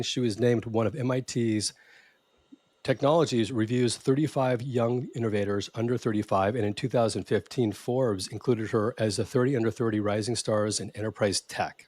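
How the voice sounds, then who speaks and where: A man's voice: mid-range voice, moderate pace, a little mellow, no room sound. Upbeat man hosting a podcast. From California, USA. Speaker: podcast host. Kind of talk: podcast interview.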